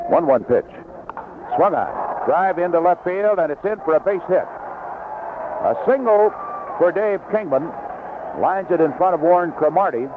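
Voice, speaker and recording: An American man calling baseball play-by-play on 1970s radio in clipped, short fragments. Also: a little high. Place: outdoor ballpark broadcast booth. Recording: dull, noisy vintage AM radio broadcast.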